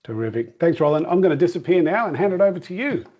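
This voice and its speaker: Brisk male host on a muffled line. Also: fairly high pitch, expressive. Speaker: conference host. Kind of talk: hosting an online session.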